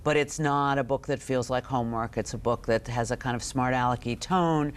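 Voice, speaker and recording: A middle-aged woman giving an expert interview as a guest, speaking conversationally and haltingly as she thinks aloud. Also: very deep voice, slight background noise. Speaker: books editor, interview guest.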